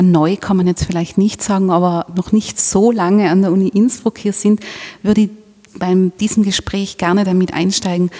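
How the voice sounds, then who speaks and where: A woman's voice: medium pitch, mellow, big-room sound. A woman hosting a university podcast, introducing her guest with a few fillers. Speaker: podcast host. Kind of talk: interview hosting.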